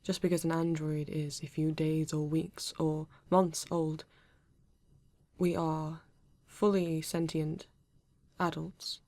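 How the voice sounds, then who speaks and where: A woman's voice: low-pitched, level tone, darkish tone, no room sound. A woman playing a male android police detective with a British accent, formal, precise and proud yet earnest, in an ASMR roleplay. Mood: assured, proud. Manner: formal, precise, measured, avoids contractions.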